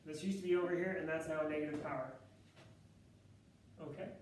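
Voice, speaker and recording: A man teaching a math class with a loud voice, talking casually and thinking aloud with fillers and self-corrections. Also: fairly high pitch, steady tone, a little fast, a little hiss or hum. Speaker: math instructor. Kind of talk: classroom lecture. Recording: echoey classroom, distant mic.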